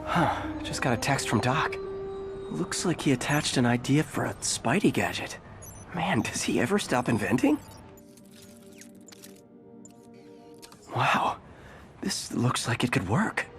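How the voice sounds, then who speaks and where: Eager young American superhero, swooping pitch. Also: male voice, big pitch swings, unhurried. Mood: musing. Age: 20s. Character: eager, wisecracking young superhero and science intern. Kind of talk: video game voice acting. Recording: music under the voice.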